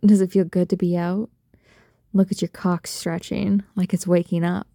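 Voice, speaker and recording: A woman speaking in an intimate adult roleplay style straight to the listener, soft, breathy and coaxing, full of doting pet names. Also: lowish voice, level tone, normal speed, dry recording, studio quality. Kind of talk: intimate erotic roleplay audio, addressing the listener.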